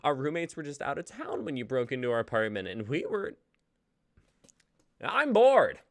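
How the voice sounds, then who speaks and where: A woman puts on a mortified young contestant held against their will. The voice swings wildly in pitch, whiny and exasperated, in a very dry comedy sketch recording. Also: very animated, moderate pace, no echo, full character voice. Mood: indignant. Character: embarrassed, indignant young adult held hostage on game show. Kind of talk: comedy sketch table read.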